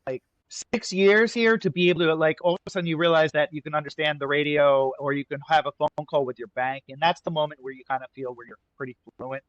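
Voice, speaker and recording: Easygoing American man, slightly high voice. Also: steady tone, fast talker, hardly any pauses, no echo, muffled recording. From United States. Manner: easygoing, lots of likes and restarts. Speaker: restaurant owner, guest. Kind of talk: casual interview conversation.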